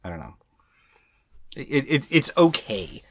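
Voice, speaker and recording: A man co-hosting a casual film chat, speaking in a halting, mulling way with repeated false starts. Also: medium pitch, sing-song, a little hiss or hum. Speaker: podcast co-host.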